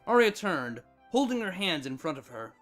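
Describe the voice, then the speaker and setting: Lively, expressive story narrator. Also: medium pitch, moderate pace, faint music behind. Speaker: narrator, fan fiction reader.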